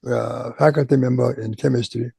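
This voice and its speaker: An older man, a native Korean speaker with a Korean accent, recalling his career as a retired chemistry professor in an oral history interview, speaking haltingly with many pauses and repeated words. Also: mid-range voice, steady tone, gravelly, dark tone, husky, no echo, clean studio sound. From Japan.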